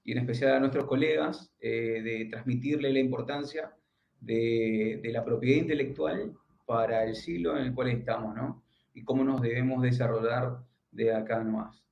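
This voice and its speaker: Halting Argentine lawyer on a video call. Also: male voice, medium pitch, steady tone, gravelly, darkish tone. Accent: northeastern Argentine Spanish, from the Paraguay border. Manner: hesitant, many "eh" fillers and restarts. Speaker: lawyer giving a lecture. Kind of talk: virtual conference talk. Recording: echoey room, dull lo-fi sound.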